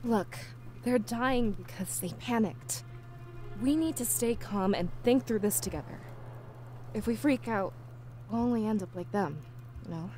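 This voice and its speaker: A woman's voice: a little high, unhurried, a little mellow, soft background music, natural voice. A woman voicing a hot-headed, impatient anthropomorphic cat woman in a video game, brusque and scolding. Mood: urgent.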